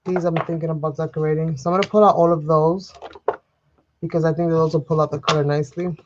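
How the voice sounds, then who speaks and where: A woman's voice: sits low, steady tone, quick pace. Sniffly lady rambling thru a live craft stream, kinda muffled audio w/ a little hiss. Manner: chatty, rambling, talks to viewers as you guys. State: sniffly, under the weather. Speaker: craft livestream host. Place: home craft desk. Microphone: overhead camera livestream, speaker off-frame.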